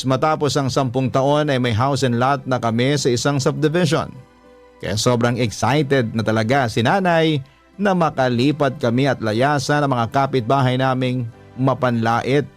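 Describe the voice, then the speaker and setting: Filipino guy reading a heartfelt letter story for radio, soft music behind, man voicing a daughter's account. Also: mid-range voice, lightly acted. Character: earnest young daughter telling her life story. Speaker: story narrator. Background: background music.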